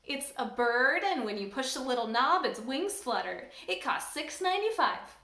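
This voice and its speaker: A woman's voice: sits high, very animated, normal speed, sharp tone, heavily acted. A woman voicing a rich show-off girl in a storybook reading, prim and boastful. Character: rich show-off girl bragging about her charms. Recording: roomy echo.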